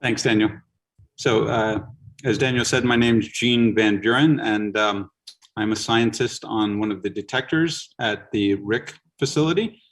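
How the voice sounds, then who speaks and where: A man who is a scientist introducing himself in a relaxed way, with many ums and uhs. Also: lowish voice, unhurried. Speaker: scientist guest. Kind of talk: casual self-introduction.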